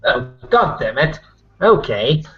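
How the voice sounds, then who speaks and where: A man's voice: on the high side, sing-song, takes it slowly, lo-fi sound. A man voicing a cheeky, blunt, irreverent werewolf trickster in an improvised tabletop roleplaying game, talking plainly and crudely. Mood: reluctant.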